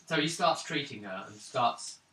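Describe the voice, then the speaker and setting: A man narrating a tabletop role-playing game as game master, with halting, improvised phrasing. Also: medium pitch, expressive, pushed and bright. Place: indoor room around a gaming table. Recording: roomy, distant mic, faint noise, clicks.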